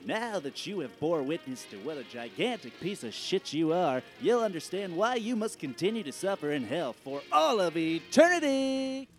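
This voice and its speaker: A solemn, booming spirit that damns a sinner to eternal suffering, voiced by a man. The voice sits high and swoops dramatically over faint background music. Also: big pitch swings, moderate pace, hardly any pauses. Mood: condemning. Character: grim spirit showing a life's misdeeds.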